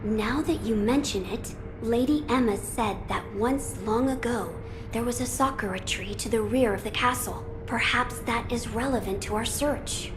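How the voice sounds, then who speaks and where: Woman as an earnest, high-voiced boy lord. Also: moderate pace, over music, lightly acted. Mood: thoughtful. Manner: formal, thoughtful, earnest. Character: earnest, noble young boy lord, resolute and thoughtful. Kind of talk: game cutscene acting. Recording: clear ambient noise behind dialogue.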